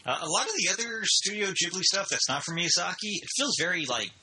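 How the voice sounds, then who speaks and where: A man's voice: sits high, sing-song, fast talker, pushed and bright, a little hiss or hum, rough recording. A man chatting casually with enthusiasm and strong opinions. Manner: enthusiastic, opinionated, says "dude". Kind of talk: casual chat.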